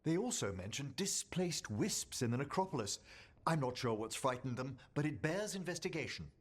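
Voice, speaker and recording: Lilting, genteel scholarly necromancer. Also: male voice, mid-range voice, big pitch swings, normal speed, breathy edge. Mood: earnest. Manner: polite, formal, erudite. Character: courteous scholarly older male necromancer professor. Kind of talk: video game voice acting.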